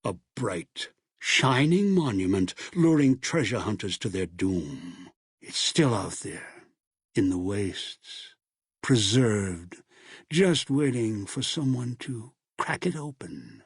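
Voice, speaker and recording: Husky, ominous old man. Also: lowish voice, animated, no room sound, clean studio sound, exaggerated voice. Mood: ominous, brooding. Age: older. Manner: ominous, deliberate storytelling. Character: bitter, obsessive old man, ominous wasteland schemer. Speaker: video game villain and narrator. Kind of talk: dramatic video game voice acting.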